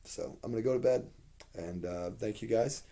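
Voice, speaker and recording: A man with a hoarse voice giving a rambling, candid spoken TV review, with plenty of fillers and the odd curse. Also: fairly low pitch, moderate pace, mellow.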